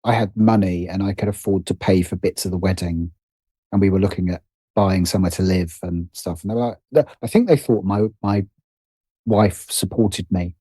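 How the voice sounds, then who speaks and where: Mellow, low-voiced British man chatting. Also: normal speed, slightly rough, no room sound. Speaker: podcast guest, writer and producer. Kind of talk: casual reflective interview chat.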